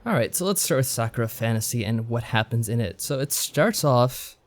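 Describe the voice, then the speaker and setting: A man with a medium-pitched voice talks as a podcast co-host. His delivery is casual, conversational review chat. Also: animated, a little fast.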